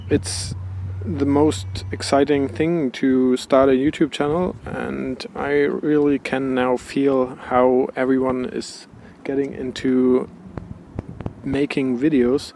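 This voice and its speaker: A young man vlogging outdoors by a harbor into a handheld camera, explaining his model boat slowly and searching for words. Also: mid-range voice, darkish tone. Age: young adult. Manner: slow, searching for words, non-native phrasing. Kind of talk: casual vlog explaining to camera. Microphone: action camera with furry windscreen mic held close. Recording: outdoor wind and traffic noise.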